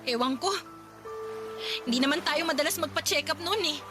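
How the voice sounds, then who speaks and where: A woman's voice: sits high, moderate pace, close to an everyday voice. An actress playing a worried young mother and wife, talking in a plaintive, pleading way. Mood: upset. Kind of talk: radio drama acting. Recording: voice over drama music.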